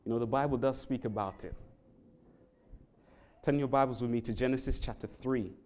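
A London-accented man preaches earnestly from the pulpit in a church, reading scripture aloud. His voice is mellow and dark at a middling pitch. He pushes up sharply in pitch and loudness on the words he wants to stress. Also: a little fast. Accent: London English. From England. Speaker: Bible worker preaching. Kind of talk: sermon with prayer and scripture reading. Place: church sanctuary.

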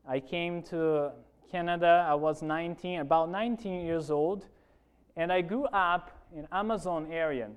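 Brazilian-accented pastor preaching with a high voice. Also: male voice, moderate pace, clear, resonant tone. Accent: Brazilian Portuguese. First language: Portuguese. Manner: earnest, addresses congregation with frequent "amen?". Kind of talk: sermon testimony. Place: church.

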